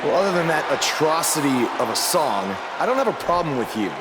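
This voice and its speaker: An actor playing a fired-up, defiant pro wrestler challenger in a wrestling game. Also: male voice, on the high side, big pitch swings, brisk, over a noisy crowd, heavy background noise. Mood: challenging.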